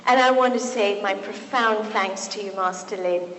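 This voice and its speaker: A middle-aged woman from Kenya, a physician and author, giving a public talk with deliberate, emphatic pauses. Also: medium pitch, fairly bright tone. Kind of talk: public talk at a book event. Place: large resonant university hall. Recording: echoey hall, some background noise.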